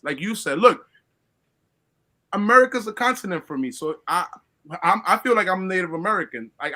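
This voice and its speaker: High, bright-voiced man from Colombia. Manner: earnest, lecturing, many restarts. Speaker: guest caller. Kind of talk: casual opinion talk.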